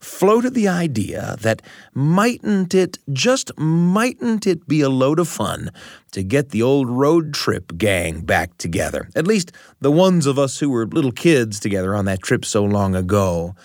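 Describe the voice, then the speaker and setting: A middle-aged American man in a storyteller's role, speaking with a very lively, swooping pitch. His phrasing is deliberate and literary, leaning on dramatic repetition. The sound is dry and close, like a radio narration. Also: dry recording. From United States. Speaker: radio show host and storyteller.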